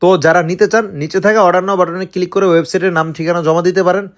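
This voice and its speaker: A man's voice: high voice, fairly even intonation, very fast. A man with a Bangladeshi accent narrating a promotional product demo as a seller.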